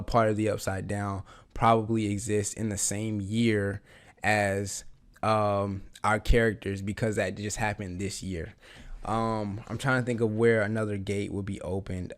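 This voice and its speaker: A man with a raspy voice, just getting over the flu, talking through a TV episode in a rambling, eager way with lots of fillers. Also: fairly low pitch. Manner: rambling, many fillers and restarts, eager fan. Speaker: reaction channel host. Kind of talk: casual reaction commentary.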